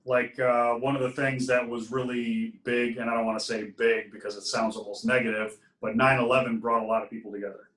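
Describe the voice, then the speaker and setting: A man's voice: mid-range voice, flat delivery, brisk, few pauses, a bit bright, distant mic. A man from Ohio, a podcast guest, telling his story in an easygoing, casual way. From Ohio, USA. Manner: easygoing storyteller, laughs now and then. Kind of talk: casual interview chat. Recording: roomy sound from off mic.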